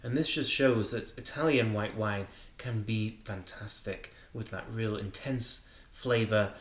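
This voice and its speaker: Low-voiced man in an echoey room. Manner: measured, explanatory, enthusiastic about wine. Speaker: wine show co-host. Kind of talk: conversational wine news discussion.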